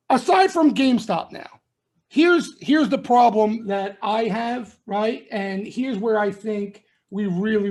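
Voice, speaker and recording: A man's voice: high-pitched, a little mellow. A middle-aged man hosting a trading broadcast, giving blunt, emphatic market talk in street-wise slang and constantly checking in with "okay?" and "right?". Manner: emphatic, punctuates nearly every point with "okay?" and "right?". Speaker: trading room host. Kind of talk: live market commentary broadcast.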